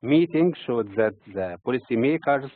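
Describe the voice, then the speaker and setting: A man with an Egyptian accent delivers scripted market commentary at a mid-range pitch. His phrasing is measured and halting, with breaks inside sentences. The sound is dry and narrow-band, like a telephone. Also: expressive, no room sound. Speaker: financial markets commentator and trading teacher. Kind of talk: prepared market commentary, read from notes. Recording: tinny, phone-like narrow-band audio.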